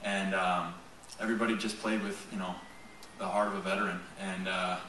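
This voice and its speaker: Young male athlete, postgame presser, even and unhurried, lots of fillers; table mic, caught from across a hall with faint ambience. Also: low voice, a little hiss or hum. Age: young adult. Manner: measured, fills with "you know" and "um". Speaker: college lacrosse player. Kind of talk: postgame press conference answers. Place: press conference room. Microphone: table mic, recorded from a far camera. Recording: roomy echo from far off.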